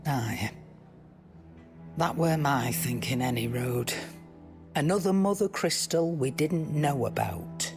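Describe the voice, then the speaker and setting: A woman's voice: low-pitched, lively intonation, slow, long pauses, music in the background, full character voice. An actress playing a blunt, shrewd woman trader in a fantasy video game, speaking plainly with a folksy Northern English accent. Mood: speculating. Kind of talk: video game dramatic dialogue.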